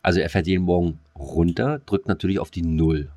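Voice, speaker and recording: A man's voice: low-pitched, fairly quick, dark tone, no room sound. A man chatting casually as a podcast co-host in a laid-back, slangy manner. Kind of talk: casual chat.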